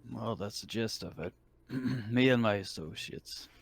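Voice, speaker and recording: Deep gravelly guy roleplaying at a game table, slow and hesitant, real dry close sound. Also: no echo. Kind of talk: casual in-character tabletop roleplay.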